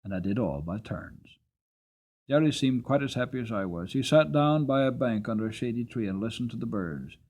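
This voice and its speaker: A gentle old-fashioned carriage horse tells his life story , voiced by a man. The storybook delivery is earnest and expressive, with lively swings in pitch. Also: brisk. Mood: fond, contented. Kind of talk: dramatic storybook narration.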